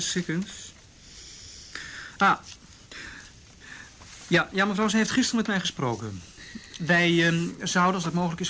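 An actor playing a careful juvenile police detective in a measured, reassuring, naturalistic radio drama manner. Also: male voice, on the high side, fairly bright tone, a little hiss or hum, lightly acted. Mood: polite, tactful.

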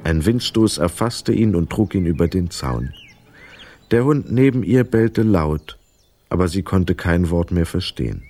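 A man narrating a children's radio play as a scripted story, with expressive, dramatic delivery. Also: deep voice, a little slow, soft background music.

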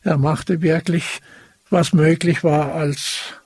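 A man's voice: fairly high pitch, steady tone, takes it slowly, a little mellow, husky, dry recording. A very old man in his nineties, a former juror, recalling the past in an interview. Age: 90s. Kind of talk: spontaneous interview recollection.

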